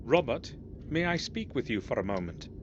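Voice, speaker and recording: A man's voice: medium pitch, sing-song, normal speed, natural voice. An actor playing an earnest, suspicious man with questions about his family castle, in a video game. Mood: insistent, suspicious. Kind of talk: scripted video game voice acting. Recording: muffled, very noisy game audio.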